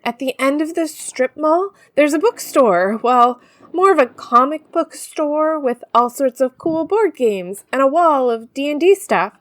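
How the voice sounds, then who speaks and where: Actress as a scared chatty girl on the run, high voice, super swoopy, rambles to her podcast. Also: animated, a little slow. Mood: scared, confiding. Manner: rambling, informal, breathless asides to listeners. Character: frantic, chatty young woman on the run. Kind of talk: first-person audio drama, confiding podcast monologue.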